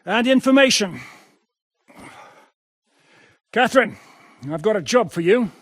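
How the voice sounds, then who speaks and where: An actor playing a gruff, battle-hardened rebel commander in a video game, barking orders, swearing and mocking his foes. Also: high voice, expressive, brisk, fairly bright tone, no echo, studio quality, full character voice. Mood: commanding.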